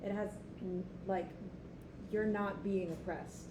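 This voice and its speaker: A woman's voice: mid-range voice, steady tone, slow. A woman taking part casually in a group discussion. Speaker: discussion participant. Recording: echoey, noisy room.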